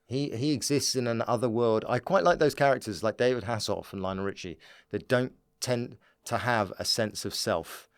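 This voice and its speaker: A man's voice: mid-range voice, normal speed, clear, resonant tone, no echo, clean studio sound. A man telling comic stories as a guest in a casual podcast chat, laughing as he talks. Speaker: podcast guest, stand-up comedian.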